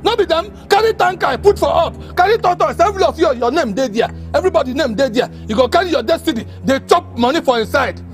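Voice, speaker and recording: Male actor as brash Nigerian drinker-tenant for comedy drama: very high voice, mouthy, taunting, animated. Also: fast, hardly any pauses, faint music behind. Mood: angry, mocking. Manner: loud, taunting, repetitive ranting. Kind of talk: Pidgin comedy drama acting.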